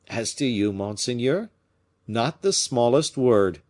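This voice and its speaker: Musketeer captain for a storybook, male narrator acting: polite, shrewd, measured delivery with animated, knowing emphasis. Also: mid-range voice. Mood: feigned casualness. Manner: measured, pointed, formally polite. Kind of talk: audiobook character acting.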